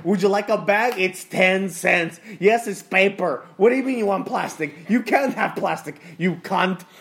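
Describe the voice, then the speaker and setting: A man's voice: a little slow, vocal fry, exaggerated voice. A man doing a brash, rude grocery clerk. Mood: manic. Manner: raised pitch, loud, laughing, insulting.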